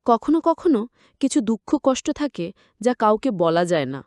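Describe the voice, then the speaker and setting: A sorrowful aunt in an audio story, played by a woman narrator, with a fairly high voice. She is affectionate and guarded, speaking in a reflective, gently advising way. The sound is very dry. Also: sing-song, moderate pace, no room sound. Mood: sad, confiding. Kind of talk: acted dialogue in story narration.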